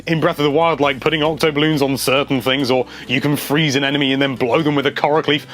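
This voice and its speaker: A man's voice: medium pitch, fairly even intonation, fast talker, a bit bright. A man hosting a casual video, reading out viewer comments and chatting about them in a rambling, enthusiastic way.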